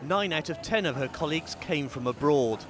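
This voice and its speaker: A man reporting as a political correspondent, with a measured, clear delivery. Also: fairly high pitch, lively intonation, a little hiss or hum. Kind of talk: news report voice-over and interviewing.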